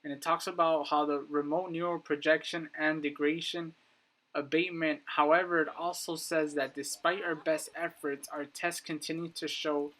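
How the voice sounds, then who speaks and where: A man's voice: on the high side, little pitch movement, normal speed, fairly bright tone, rough recording. A man giving casual, emphatic commentary on video game lore, addressing his viewers directly. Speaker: YouTube gaming content creator.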